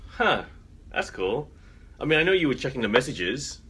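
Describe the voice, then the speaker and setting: A tired man with a slightly croaky, just-woken voice, chatting casually as co-host of a fan show. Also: medium pitch, sing-song, normal speed, pushed and bright. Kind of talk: casual chat. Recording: noisy room ambience.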